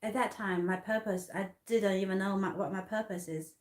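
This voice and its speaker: A woman from Hong Kong in her thirties telling a personal story in halting English with many restarts. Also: medium pitch, steady tone, darkish tone, echoey room. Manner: halting, many restarts and fillers, non-native grammar. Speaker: artist vlogger.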